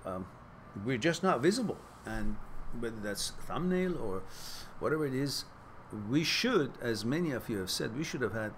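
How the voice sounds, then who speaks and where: A man from Iran, a Farsi speaker, hosting a casual live chat and giving earnest, reflective advice with loose pauses and fillers. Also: mid-range voice, background noise. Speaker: host reading live chat questions.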